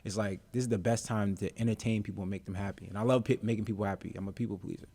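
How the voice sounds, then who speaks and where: A man's voice: fairly low pitch, steady tone, racing, gravelly, dark tone, slightly rough. A young adult man, a rapper being interviewed, talking in a laid-back, easygoing way with plenty of ums and uhs. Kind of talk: casual interview chat.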